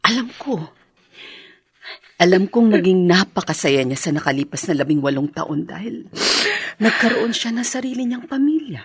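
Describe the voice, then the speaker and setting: A woman acting a gentle, sympathetic confidante in a radio drama, speaking softly and in a measured way. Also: mid-range voice, very animated, breathy edge, no room sound, exaggerated voice. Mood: consoling, hushed.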